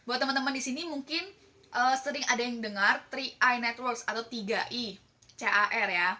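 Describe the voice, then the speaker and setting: A woman's voice: fairly high pitch, normal speed, sharp tone. An Indonesian-accented woman presenting as a team leader, explaining casually and chattily while often checking in with listeners.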